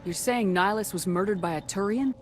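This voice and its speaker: An actress playing a tough, blunt military commander in a video game, questioning people in a curt, confrontational manner. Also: female voice, medium pitch, fairly quick, a little hiss or hum, lightly acted. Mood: businesslike. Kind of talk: video game dialogue acting.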